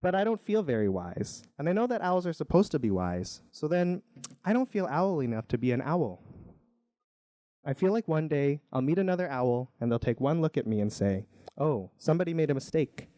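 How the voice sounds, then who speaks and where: A man's voice: fairly high pitch, very animated, normal speed, warm tone, no echo, voice pushed up. Man reading as a wistful, self-doubting owl. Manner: tentative, rambling self-doubt.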